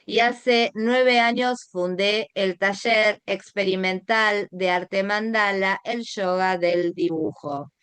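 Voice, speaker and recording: A woman's voice: medium pitch, fairly even intonation, slow pace, few pauses, a bit bright, smooth, dry recording. A middle-aged Argentine woman presenting an online art class as its teacher, guiding listeners directly and asking them rhetorical questions. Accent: Argentine Spanish.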